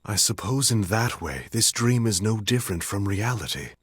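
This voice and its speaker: A man playing a gentle, pensive dreamer in a video game, thoughtful, formal and measured. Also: lowish voice, expressive, slightly rough. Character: gentle, pensive, melancholy dreamer, courteous and reflective.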